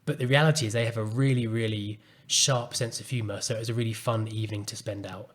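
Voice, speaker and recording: A man hosting a solo podcast, speaking calmly and earnestly as he reflects on a personal story. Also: lowish voice, fast. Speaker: podcast host and coach.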